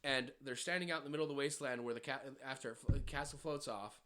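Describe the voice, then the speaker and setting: A man's voice: medium pitch, fast, pushed and bright. A man co-hosting a podcast, recapping a cartoon in a joking, profane way. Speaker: podcast co-host. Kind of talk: casual chat.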